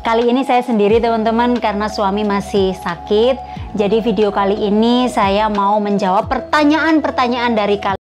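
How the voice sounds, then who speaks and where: A woman from East Java hosting her own vlog, who reads viewer questions aloud and answers them in a relaxed, friendly way. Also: mid-range voice, moderate pace. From Malang, East Java, Indonesia. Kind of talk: casual Q&A vlog. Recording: music playing underneath.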